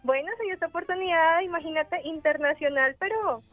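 A woman's voice: very high-pitched, a little fast, faint music behind. A woman from Colombia with a Colombian accent, calling in to a radio show and telling a story in a friendly, giggly way. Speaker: caller. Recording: tinny phone line.

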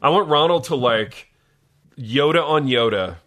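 Bright-voiced man bantering as podcast host. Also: medium pitch, lively intonation, measured pace. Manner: jokey, slangy, addresses co-hosts as dude and baby.

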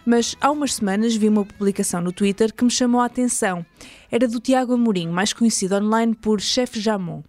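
A woman's voice: fairly high pitch, a little fast, a little mellow, no room sound, soft background music. A woman with a European Portuguese accent hosting a podcast, reading a scripted documentary narration. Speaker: podcast host.

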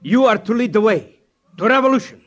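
High-voiced, fervent Soviet agent. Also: male voice, animated, a little fast. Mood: rising fervor. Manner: declamatory, oratorical. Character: fervent Soviet agent rallying communist comrades. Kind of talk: 1950s radio drama acting. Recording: noisy, crackly 1950s radio recording.

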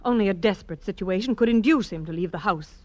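Refined young married client played by a woman, earnest, faltering, mid-pitched, 1940s detective radio melodrama. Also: fast, a little mellow, dry recording, natural voice. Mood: troubled, earnest. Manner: polite, hesitant, earnest, genteel. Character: refined, troubled young wife, kind and considerate.

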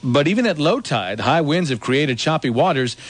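A man's voice: on the high side, big pitch swings, normal speed, no room sound. A man with an American accent anchoring radio news, reading headlines and weather live.

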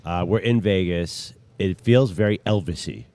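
A man's voice: deep voice, moderate pace, no room sound. Easygoing male sports talk co-host. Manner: easygoing, animated banter.

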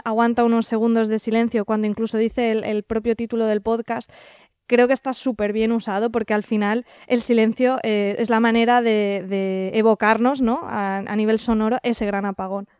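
A woman's voice: a little high, a little mellow, clear, resonant tone, no echo, muffled recording. A woman with an accent from Spain, giving a conversational review as a podcast panelist.

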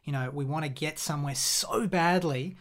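A man, a podcast guest, talking in a reflective, measured way with a playful edge. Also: fairly high pitch, fairly bright tone. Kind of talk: casual podcast chat.

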